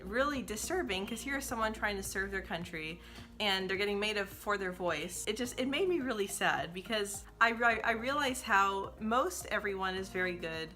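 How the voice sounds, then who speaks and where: A woman's voice: mid-range voice, lively intonation, normal speed, bright, forceful tone, faint music behind. A young woman from the United States who stutters, talking casually to camera about her own experience, with frequent repeated sounds and restarts.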